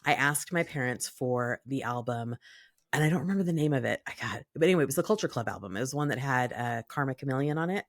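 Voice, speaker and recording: A woman in her early fifties chatting casually and bantering as a podcast co-host, animated and playfully stubborn. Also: rumbling low, quick pace, dry recording, studio quality. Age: early 50s.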